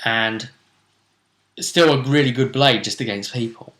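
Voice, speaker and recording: A man hosting a tabletop role-play show, casually recapping the action in a jokey, theatrical way with drawn-out emphasis. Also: mid-range voice, fairly bright tone. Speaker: game master and host.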